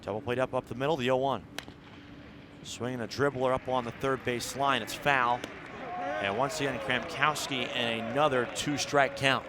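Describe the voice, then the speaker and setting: A man's voice: mid-range voice, normal speed. A man calling live baseball play-by-play on the radio, mixing clipped descriptive calls with longer analysis. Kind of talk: live radio sports commentary. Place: outdoor ballpark broadcast. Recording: steady outdoor ballpark background noise.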